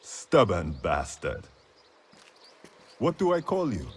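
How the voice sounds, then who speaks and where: An actor playing a gruff, commanding, mocking rebel fighter in a video game cutscene. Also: male voice, fairly low pitch, wildly swooping pitch, slow pace, exaggerated voice. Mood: brusque, mocking.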